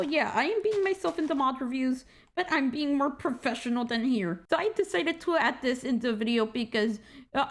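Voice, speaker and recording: A woman with a squeaky voice giving a casual, rambling game walkthrough at her computer, tired and correcting herself as she goes. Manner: rambling, self-correcting, joking asides. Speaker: gaming channel host. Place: indoor room at a computer.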